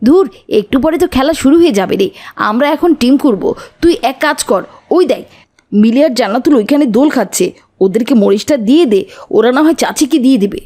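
A woman's voice: sits high, lively intonation, quick pace, dark tone. A woman voicing an eager, friendly village boy in a cartoon, chummy and persuasive. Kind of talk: cartoon dialogue.

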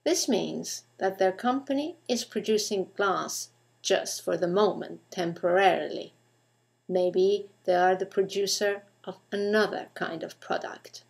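A woman's voice: mid-range voice, unhurried, gravelly. A woman teacher narrating a scripted grammar lesson, enunciating carefully and putting questions to the listener.

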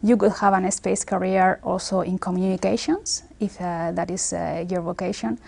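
A woman in her forties speaks English with a Spanish accent, her voice warm and mellow. She talks in a measured, earnest way, pausing often to find her words. She sits for an interview in an office. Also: lowish voice, fairly even intonation, creaky, husky. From Spain. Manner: measured, earnest, many filler pauses. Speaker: space agency engineer. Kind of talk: documentary interview. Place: office with large screen and window.

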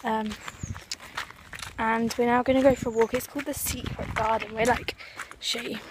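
A woman's voice: on the high side, level tone, moderate pace. Rambling teenage girl vlogging outdoors. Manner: rambling, lots of "um" and "like". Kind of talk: casual vlog chat. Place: outdoors at a sunny marina and woodland path. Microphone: phone held at arm's length. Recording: noisy outdoor phone recording.

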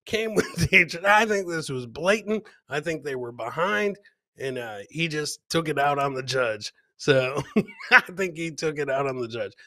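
A man's voice: a little high, animated, unhurried, sharp tone, dry recording, clean studio sound. A middle-aged man chatting and joking as he hosts live reactions to viral clips, often repeating his phrases. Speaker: comedy host.

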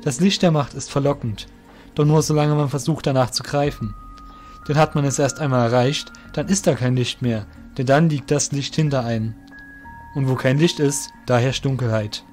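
A man narrating a scripted audio drama as storyteller, in a measured, literary reading manner. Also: medium pitch, fairly even intonation, a little mellow, soft background music.

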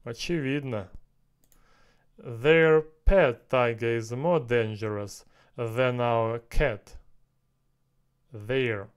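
A man in his thirties on a headset mic in a small room, working through language drills out loud, repeating and translating each phrase and muttering to himself. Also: medium pitch, extremely slow, pauses often, smooth. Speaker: language learner streaming a lesson. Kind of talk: thinking aloud while doing app exercises.

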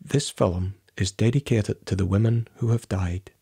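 Guy doing indignant documentary voiceover, husky and mellow. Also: on the low side, moderate pace. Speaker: documentary narrator. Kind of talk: scripted narration.

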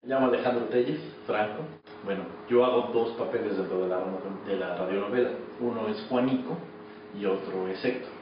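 A man's voice: lowish voice, fairly quick. Mexican man in a very echoey room. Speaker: radio drama actor being interviewed. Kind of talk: casual interview answers. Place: small office. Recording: echoey, distant, muffled, noisy camera audio.

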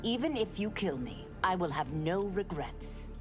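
A woman's voice: on the low side, very animated, a little slow. A woman playing a repentant demon warrior in a video game, grave and formal. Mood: solemn. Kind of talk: video game dramatic dialogue. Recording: clear music behind the voice.

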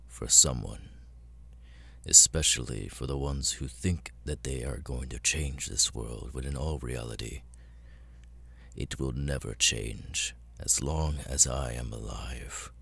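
Demon lord, ASMR roleplay, slow, gravelly fry, condescending, menacing, superior. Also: male voice, fairly bright tone, dry recording, full character voice. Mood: cold threatening. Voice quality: very deep, gravelly, husky. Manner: slow, deliberate, condescending, with long pauses.

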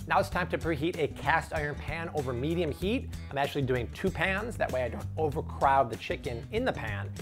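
Chicago man in his thirties, bouncy cooking host, home kitchen, lapel mic, music faint underneath. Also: fairly high pitch. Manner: upbeat, playful, salesy. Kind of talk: energetic cooking demo.

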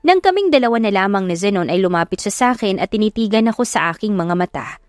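A woman's voice: mid-range voice, expressive, fast talker, dry recording, studio quality. Woman narrating a dramatic story over music. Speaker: audiobook narrator. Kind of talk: scripted dramatic first-person love story narration. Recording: music behind the voice.

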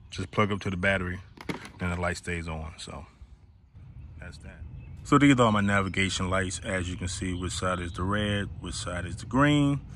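A man with a fairly low voice talks casually through a hands-on project, step by step and without fuss. He records outdoors on a handheld camera, with faint ambient noise around his voice. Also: a bit bright. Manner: plain, step-by-step explaining. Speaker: boat-owner hobbyist giving a walkthrough. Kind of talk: casual how-to vlog narration. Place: outdoors in a grassy backyard.

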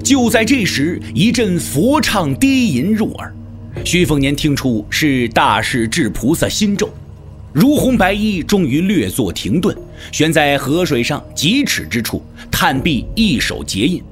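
Casting: male wuxia audiobook narrator, dramatic and very animated, somewhat high register. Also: a little slow, faint music behind. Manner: theatrical storytelling delivery. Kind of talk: dramatic scripted narration of a martial-arts novel.

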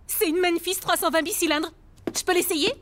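A woman voicing a bold, eager motorbike enthusiast in a cartoon, brisk and cheeky. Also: very high voice, steady tone, fairly bright tone, a little hiss or hum. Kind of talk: French cartoon acting.

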